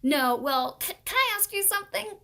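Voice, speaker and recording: A woman voicing an eager, pleading, excitable schoolboy in a children's storybook. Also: extremely high pitch, normal speed, gravelly, voice pushed up, full character voice. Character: eager, pleading schoolboy with poor self-control.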